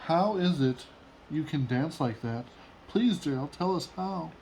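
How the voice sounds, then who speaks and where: These are excitable jungle animals from a picture book, voiced by a man. They call out in exclamations, pitched a bit higher than a usual male voice. Some faint noise sits in the recording. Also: unhurried, gravelly, rough recording. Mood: amazed. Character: jungle animals, mocking then amazed, loud and exclaiming. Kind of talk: storybook read-aloud.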